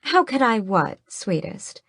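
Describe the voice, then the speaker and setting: A woman's voice: mid-range voice, sing-song, slow pace, gravelly, a bit bright, husky, dry recording, studio quality, pitched above the performer's own voice, full character voice. A woman voicing a haughty, ageless vampire queen who speaks in cold, mocking commands. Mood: scornful.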